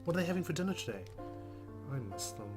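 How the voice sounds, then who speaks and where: Someone playing a visual novel, reading narration out loud and chatting, guitar music behind. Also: mid-range voice, very animated, fast. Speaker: let's player reading game narration aloud. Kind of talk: reading story narration with casual asides.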